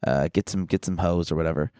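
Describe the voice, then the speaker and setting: A man's voice: low voice, fairly even intonation, fast, warm tone, clear, resonant tone, dry recording, studio quality. A man chatting casually as a comedy podcast co-host, with a slangy, crude, joking manner.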